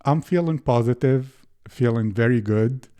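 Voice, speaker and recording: Male podcast host, unhurried delivery, warm mellow tone. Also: medium pitch. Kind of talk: scripted intro then interview questions.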